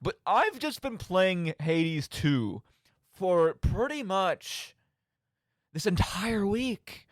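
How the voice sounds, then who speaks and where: A man's voice: high-pitched, sing-song, very slow, no echo, clean studio sound. A young man hosting a casual, rambling radio show in a playful, mock-dramatic, tongue-in-cheek manner. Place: radio studio. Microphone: studio microphone.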